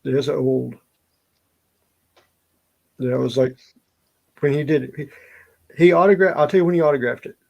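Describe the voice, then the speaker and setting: A man's voice: mid-range voice, normal speed, vocal fry, long pauses, low-quality recording. A middle-aged man with a Southern US accent chatting casually and telling stories in a rambling way. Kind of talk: casual chat, storytelling.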